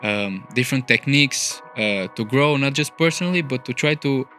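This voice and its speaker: A man's voice: medium pitch, lively intonation, normal speed, sharp tone, faint music behind. A young man from Albania, an architecture student, chatting as a podcast guest in a thoughtful way with many fillers and restarts. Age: young adult. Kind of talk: casual interview conversation. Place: studio.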